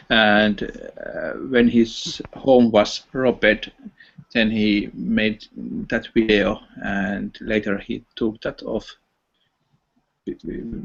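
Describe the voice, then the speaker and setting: Finnish guy on a voice chat, slow and halting, kinda husky, dull lo-fi sound. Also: on the low side. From Finland. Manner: hesitant, halting, many fillers and restarts. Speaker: chat participant. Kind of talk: casual online voice chat. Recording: dull lo-fi online voice chat audio.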